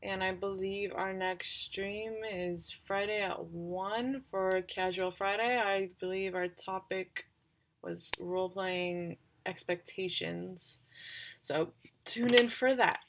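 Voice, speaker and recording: A woman hosting a casual, unscripted livestream recap, speaking haltingly as she thinks aloud. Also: mid-range voice, steady tone, slight background noise. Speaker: livestream host and tabletop roleplayer.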